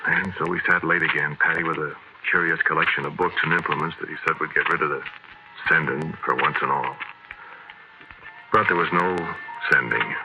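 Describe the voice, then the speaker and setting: Very low-voiced haunted radio horror narrator. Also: male voice, fairly even intonation, sharp tone, soft background music, full character voice. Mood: brooding narration. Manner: hesitant, brooding, halting. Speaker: narrator and lead actor. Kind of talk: 1940s radio horror acting, first-person narration. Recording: noisy old radio broadcast recording.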